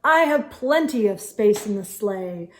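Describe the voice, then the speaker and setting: A woman voicing a kindly, wise old Santa in a children's storybook, measured, earnest and reassuring. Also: mid-range voice, animated, lightly acted.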